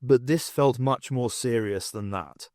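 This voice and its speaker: A man reading an audiobook aloud, narrating with an expressive, lively delivery. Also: medium pitch, measured pace, no room sound, studio quality. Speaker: audiobook reader. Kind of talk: storybook narration.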